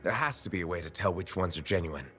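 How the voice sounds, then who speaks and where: An actor playing a calm, reassuring male hero in video game dialogue. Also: deep voice, fast talker, soft background music. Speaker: player character.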